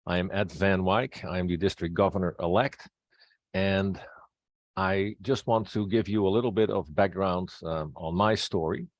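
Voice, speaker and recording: A man's voice: deep voice, fairly even intonation, normal speed, dry recording. A middle-aged man from the Netherlands with a Dutch accent, a native Dutch speaker, introducing himself as a presenter. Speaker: presenter, district governor-elect and pastor.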